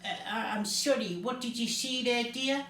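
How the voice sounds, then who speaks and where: A woman's voice: a little high, normal speed, a bit bright. A woman voicing a sly, mock-polite woman shopkeeper in a storybook. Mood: mock innocent. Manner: repetitive catchphrase, mock-polite. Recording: roomy, distant mic, faint noise.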